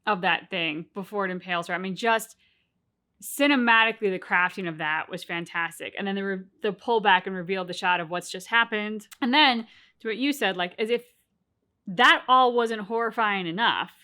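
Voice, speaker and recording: Bright-voiced woman in casual chat. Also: mid-range voice, moderate pace. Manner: animated, rambling with many restarts. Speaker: co-host of a game reaction channel.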